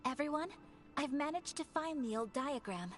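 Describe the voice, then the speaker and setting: A woman's voice: high voice, expressive, normal speed. An actress playing a refined, polite young noblewoman in a video game, speaking formally and with composure. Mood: polite, helpful. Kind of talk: scripted video game dialogue. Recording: game dialogue with background music.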